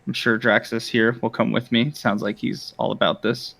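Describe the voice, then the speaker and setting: A man's voice: mid-range voice, flat delivery, normal speed, gravelly, fairly bright tone, no echo. A man playing a confident, blunt fighter for hire in a tabletop game, talking matter-of-factly. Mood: blunt, practical. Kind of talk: improvised in-character roleplay.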